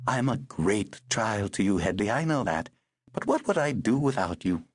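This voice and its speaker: A male narrator voicing a brisk, wry aristocratic older woman who talks in a clipped, commanding way. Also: lowish voice, sing-song, husky, no echo, studio quality.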